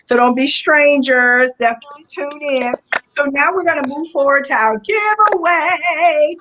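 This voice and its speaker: A woman's voice: high voice, moderate pace, noisy background. A woman hosting a live online talk show, upbeat and affirming, often laughing as she wraps up and runs a giveaway. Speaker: host.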